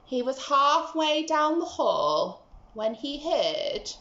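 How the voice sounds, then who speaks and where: A female teacher tells a tale to small children with dramatic emphasis. Her high voice rises and falls widely, and the recording is roomy and a little dull. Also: big pitch swings, slow, noisy background. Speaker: teacher reading to young children. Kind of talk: children's storytelling.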